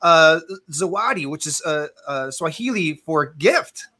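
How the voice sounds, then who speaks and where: A man's voice: a little high, animated, measured pace, pushed and bright. A man hosting an interview, rambling enthusiastically with many fillers. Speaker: interviewer, host. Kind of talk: casual interview chat.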